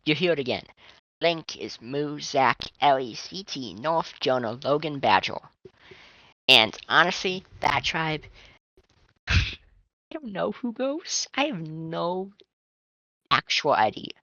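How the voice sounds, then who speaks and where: A young man with a high, strained and tired voice, rambling casually as a fan commentator with restarts and swearing. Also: animated, slow, pauses often, sharp tone, no echo. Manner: chatty, swearing, trailing restarts. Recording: dull lo-fi dry mic.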